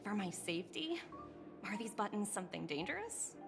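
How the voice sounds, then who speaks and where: A woman voicing a bright, chatty young corporate envoy in a video game, sometimes flustered. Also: mid-range voice, very animated, normal speed, gravelly. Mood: polite. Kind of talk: video game character dialogue. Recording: game audio with music and reverb.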